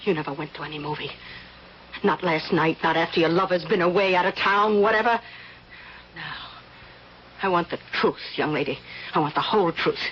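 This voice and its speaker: An actress playing a demanding, spiteful rich old recluse in an old-time radio drama, with an imperious, theatrical delivery. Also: female voice, on the low side, moderate pace, long pauses, natural voice. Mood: accusing. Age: older. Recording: dull noisy old radio broadcast.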